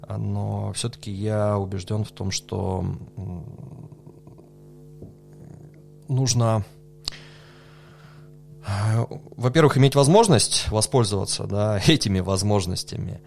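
A man talking through his answers in a relaxed podcast interview, pausing with fillers and laughing softly now and then. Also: on the low side, vocal fry, faint music behind. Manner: thoughtful, hesitant with many fillers, laughs softly. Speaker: podcast guest, coffee judge.

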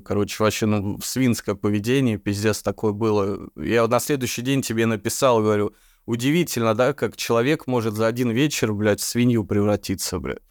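A man with a baritone voice, a podcast guest telling stories in casual, slangy, swearing chat and laughing often. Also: dry recording, studio quality.

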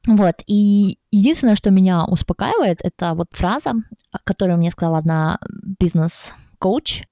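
A woman in her thirties chats on a podcast and gives listeners blunt, practical advice. Her voice is mellow and warm, and it sounds close and dry with almost no room sound. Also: mid-range voice, animated, normal speed, no room sound. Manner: direct, advising listeners plainly. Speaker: podcast co-host. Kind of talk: casual podcast chat.

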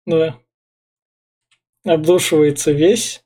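A man's voice: a little high, fairly even intonation, takes it slowly, mellow. A man chatting casually and colloquially about a film as a podcast co-host.

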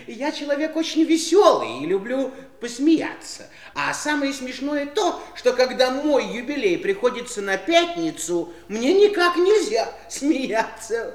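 An actor playing a merry, fussy old uncle in a theatrical sixties audio play, courteous and effusive, given to chuckles and exclamations. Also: male voice, extremely high pitch, big pitch swings, unhurried, exaggerated voice. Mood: tickled, explaining. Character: merry, giggly, fussy bald old uncle who floats. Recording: roomy 1960s studio drama recording with music.

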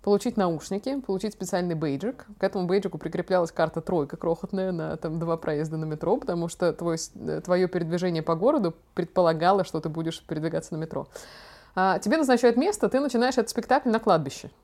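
A young woman hosting a podcast, chatting casually and telling personal stories in an expressive, slangy way. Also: lowish voice, fast talker. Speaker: podcast host. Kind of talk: casual chat, personal storytelling.

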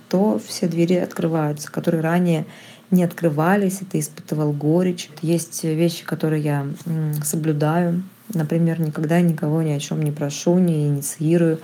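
A woman with a low, mellow voice talks casually about her work. She is hesitant and fills her pauses with "uh.". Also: steady tone. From Russia. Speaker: photographer. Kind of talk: casual personal testimony.

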